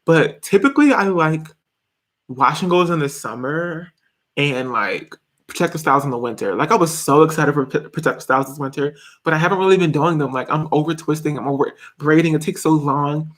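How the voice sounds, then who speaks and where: A man's voice: a little high, brisk, fairly bright tone. A man in his twenties chatting casually on a live stream from his bedroom, reading comments, laughing often and restarting his sentences. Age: 20s. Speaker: YouTuber reading live chat. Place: small bedroom, indoors.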